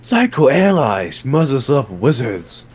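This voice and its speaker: A man's voice: mid-range voice, big pitch swings, slow, a little mellow, slightly rough. A man reading out short command prompts in a group dramatic reading.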